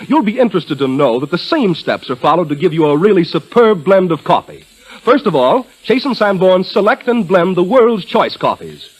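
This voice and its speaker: A man announcer reads a vintage radio advertisement. His voice is very high for a man and swings animatedly through each sentence, on an old broadcast recording. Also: low-quality recording. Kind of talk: 1940s radio advertising read.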